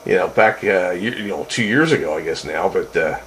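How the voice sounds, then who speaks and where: Low-voiced man on a noisy CB radio. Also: expressive, fairly quick, fairly bright tone. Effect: two-way CB radio. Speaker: CB radio operator. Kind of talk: casual two-way radio chat. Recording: noisy two-way radio transmission.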